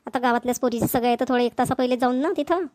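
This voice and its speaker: A woman's voice: fairly high pitch, racing, darkish tone, natural voice. A woman voicing a chatty, practical village woman in a cartoon, in homely Vidarbha Marathi. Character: chatty, practical village women neighbours planning an engagement. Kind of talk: amateur cartoon dialogue.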